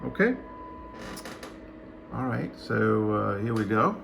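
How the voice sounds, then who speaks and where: A man giving an unscripted hands-on demonstration as a radio hobbyist, speaking in a halting, matter-of-fact way. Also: fairly low pitch, very animated, vocal fry, noisy background.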